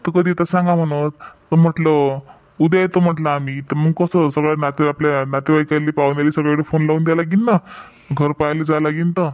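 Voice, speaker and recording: A man's voice: fairly high pitch, level tone, quick pace, a little mellow, close to an everyday voice. A man voicing a practical, reassuring middle-aged village father in a cartoon family skit, with a homely Varhadi Marathi accent. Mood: cheerful, inquiring.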